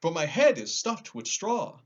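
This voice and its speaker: A man's voice: a little high, very animated, a little slow, highs cut off, voice pushed up. A man voicing an earnest, naive scarecrow stuffed with straw, exclaiming like a storybook character.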